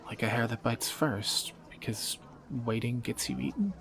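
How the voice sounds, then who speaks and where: A man's voice: medium pitch, normal speed, fairly bright tone, a little hiss or hum, close to an everyday voice. A man playing a wry, flirtatious thief on the run in a romantic audio drama, speaking in teasing, sardonic banter to a companion. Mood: intrigued, teasing.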